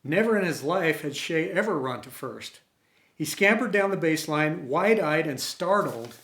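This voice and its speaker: A man reading a story aloud as host, with expressive, dramatic delivery. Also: fairly high pitch, normal speed. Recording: roomy, echoey home recording.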